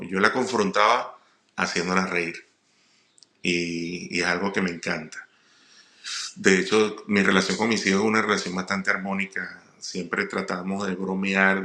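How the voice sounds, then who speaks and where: A middle-aged man with a Venezuelan accent tells personal stories informally, often halting and repeating words. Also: sits low, creaky, sharp tone. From Puerto La Cruz, eastern Venezuela. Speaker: comedian, guest speaker. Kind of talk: personal storytelling monologue. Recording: roomy echo.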